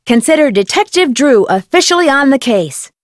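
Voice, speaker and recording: A confident young girl detective in a video game, pretty high voice, articulate, super dry recording. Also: very animated, moderate pace, dry recording. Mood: confident, inquisitive. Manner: assured, articulate. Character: confident, inquisitive young woman amateur detective. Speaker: voice actress in an adventure video game. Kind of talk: game character dialogue acting.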